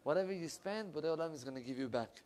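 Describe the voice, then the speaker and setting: Young male rabbi, animated lecturer with a higher voice, lapel mic. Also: quick pace, a little hiss or hum. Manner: animated, with big swings in pitch and loudness and lively hand gestures. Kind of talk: animated Torah class. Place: wood-panelled room with bookshelves.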